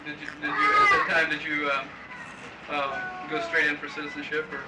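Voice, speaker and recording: A male interviewer asks his questions haltingly and keeps restarting. His slightly high voice sounds far off in a reverberant room. Loud tape hiss sits under everything. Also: wildly swooping pitch. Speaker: interviewer for a dialect study. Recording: roomy distant old field tape with loud hiss.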